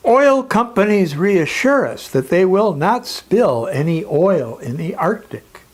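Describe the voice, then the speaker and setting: Slow, dramatic male lecturer. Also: high voice, sing-song. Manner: deliberate, emphatic, dramatic pauses. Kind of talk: scripted educational narration.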